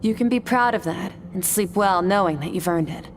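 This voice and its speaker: An actress voicing a stern, composed female sword master who speaks in a calm, measured, instructive way in a video game. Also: mid-range voice, slight background noise, close to an everyday voice. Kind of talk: game voice acting.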